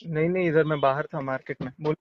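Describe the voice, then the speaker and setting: A man chatting casually on a recorded private phone call in a brisk, offhand way. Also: fairly high pitch, steady tone, no echo.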